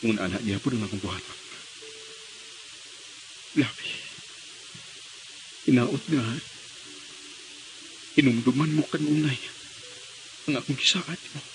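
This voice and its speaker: A man's voice: mid-range voice, animated, slow pace, long pauses, a little hiss or hum, poor audio. A man with a Visayan Filipino accent playing a brooding, devoted young man in a dramatic radio play. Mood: brooding, determined.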